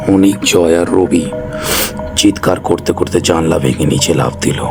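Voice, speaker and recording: A man's voice: low voice, a little slow, over music. A man narrating a scripted horror story as a storyteller.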